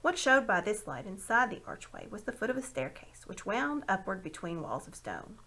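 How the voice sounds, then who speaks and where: A woman reading a children's story aloud in an expressive narrating style. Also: medium pitch, moderate pace. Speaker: amateur audiobook reader.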